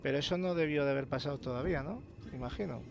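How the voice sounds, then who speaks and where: A man from commentating a horror game, chatty and excited, swearing often. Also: fairly high pitch, fast talker, vocal fry. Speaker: gamer. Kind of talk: let's play commentary. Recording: noisy game audio underneath. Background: game ambience.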